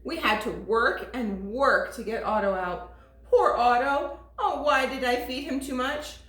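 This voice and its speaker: Expressive high-voiced woman reading aloud. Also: measured pace. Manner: expressive, sing-song. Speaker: teacher. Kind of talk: storybook read-aloud for toddlers. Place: classroom. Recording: echoey room.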